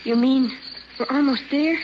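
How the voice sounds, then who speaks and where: Earnest, high-voiced young boy. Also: unhurried. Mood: anxious, tender. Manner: earnest, questioning. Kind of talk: radio drama acting. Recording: muffled, faintly noisy old radio drama.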